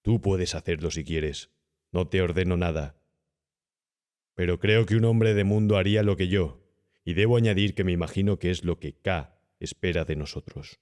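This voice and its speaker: Horror audiobook role: low-voiced young male doctor, acted by a man, cool, unscrupulous, insolently calm and persuasive. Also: moderate pace, lightly acted. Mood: calm cynical persuasion. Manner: smooth, insolent, calmly persuasive. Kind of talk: audiobook character acting.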